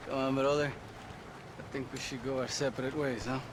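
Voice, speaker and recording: An actor playing a cornered, slippery outlaw, ingratiating and hesitant, in a game cutscene. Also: male voice, medium pitch, flat delivery, moderate pace, noisy background, natural voice. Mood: nervous, ingratiating. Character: slippery, pleading Mexican outlaw, cornered former gang brother. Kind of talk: video game cutscene acting.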